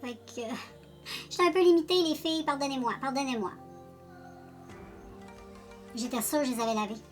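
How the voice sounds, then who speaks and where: A woman's voice: high-pitched, moderate pace, faint music behind. A woman with a Quebec French accent chatting casually through a makeup tutorial, rambling and joking at her own expense while speaking to her viewers. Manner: chatty, rambling, self-deprecating, talks directly to viewers. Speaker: beauty vlogger.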